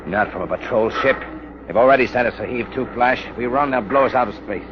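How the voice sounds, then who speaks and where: An actor playing a grim, practical space mutineer, terse and matter-of-fact, in a 1950s radio drama. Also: male voice, mid-range voice, quick pace, lightly acted. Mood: grim, resigned. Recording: muffled, noisy old radio broadcast.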